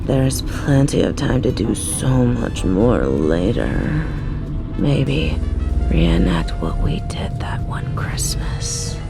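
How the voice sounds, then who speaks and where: A woman's voice: extremely low pitch, huge pitch swings, takes it slowly, music in the background, exaggerated voice. A woman playing a flirtatious, teasing and protective female crime boss in an audio roleplay. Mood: flirty. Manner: teasing, playful, breathy asides. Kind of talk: audio roleplay acting with ASMR touches.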